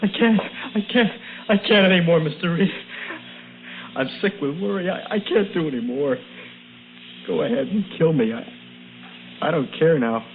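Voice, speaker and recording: A man's voice: a little high, lively intonation, noisy background. Desperate young country man, old radio. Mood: anguished, resigned. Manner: earnest, halting, pleading. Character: desperate, devoted young farm boy held captive. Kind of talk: old radio drama acting. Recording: narrow, humming vintage radio recording.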